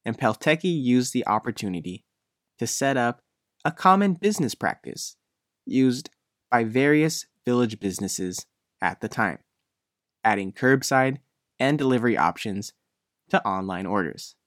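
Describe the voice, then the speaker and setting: A male reporter narrates a written news feature. His voice sits at a middling pitch and moves in measured, deliberate phrases. The sound is dry, with no room around it. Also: animated, dry recording.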